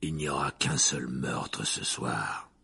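A man's voice: gravelly, bright, forceful tone, slightly rough, full character voice. A man doing a hissing, haggard fugitive. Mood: menacing hush. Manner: low, slow and whispered. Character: haggard adult male fugitive, intense, hissing.

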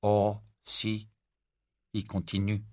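A man's voice: lowish voice, monotone, slow, mellow, no echo, clean studio sound. A man playing a sullen, brooding boy. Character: sullen, guarded young boy.

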